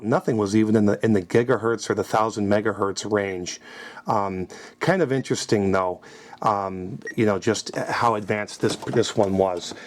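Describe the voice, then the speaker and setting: A man's voice: medium pitch, a little mellow. Man explaining gear over background noise. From United States. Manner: explanatory, conversational. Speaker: scanner hobbyist demonstrating his collection. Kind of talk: informal product demonstration.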